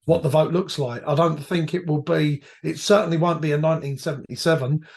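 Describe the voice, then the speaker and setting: A man, hoarse from a cold, a guest reminiscing about his years as a firefighter in rambling, self-correcting talk. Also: on the high side, steady tone, brisk, a little mellow. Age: 50s. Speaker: podcast guest, retired firefighter. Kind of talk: reflective conversational storytelling.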